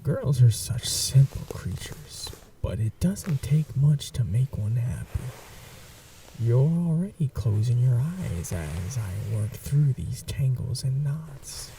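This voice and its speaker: A man playing a possessive, seductive vampire in an intimate ASMR roleplay, speaking in a soft, breathy half-whisper with a languid, teasing, condescending manner. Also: medium pitch, lively intonation, slow pace, far from the mic, slight background noise. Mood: teasing, doting.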